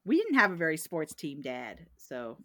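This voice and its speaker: A woman's voice: deep voice, huge pitch swings, normal speed, a bit bright, no echo, clean studio sound. A woman co-hosting a podcast and illustrating it, talking in a playful, jokey way. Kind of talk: casual chat.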